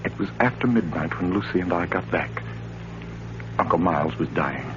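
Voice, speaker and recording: An actor in a 1940s radio thriller playing a troubled, desperate man who confides in a halting, intense way. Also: low voice, animated, background noise. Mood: troubled, confiding. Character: troubled man desperate to uncover his uncle's death. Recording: muffled old radio broadcast, music behind.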